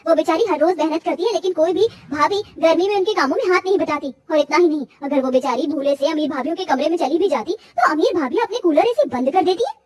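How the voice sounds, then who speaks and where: A woman's voice: very high voice, fast talker, few pauses. A woman voicing a caring, outspoken young daughter in a cartoon family story, earnest and assertive. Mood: indignant. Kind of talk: cartoon moral-story acting.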